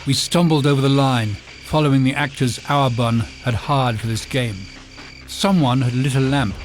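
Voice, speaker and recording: A man's voice: mid-range voice, normal speed, slight background noise. A man narrating a mystery audiobook in a dramatic, suspenseful first-person style. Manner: suspenseful, theatrical. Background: music score.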